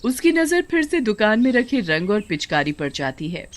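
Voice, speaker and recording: Woman narrating a moral story, medium pitch. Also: big pitch swings, moderate pace.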